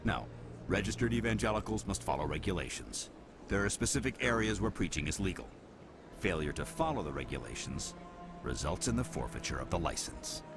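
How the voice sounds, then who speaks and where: Stern, low-voiced alien officer. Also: male voice, sing-song, a little fast, music in the background. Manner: formal, clipped, by-the-book. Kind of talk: game voice acting.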